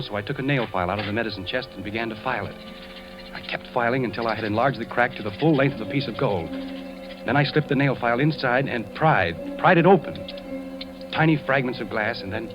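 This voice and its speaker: A man's voice: mid-range voice, highs cut off, natural voice. An American actor playing a suspicious, troubled husband who narrates his own story in a 1950s radio drama. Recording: vintage radio recording with music.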